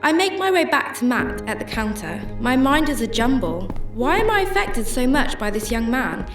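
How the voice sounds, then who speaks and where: A woman's voice: high-pitched, very animated, moderate pace, distant mic. A woman playing a compassionate, troubled homeless shelter volunteer, narrating and speaking in a radio drama. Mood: reflective, concerned. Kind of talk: first-person radio drama narration and dialogue. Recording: roomy sound with music behind.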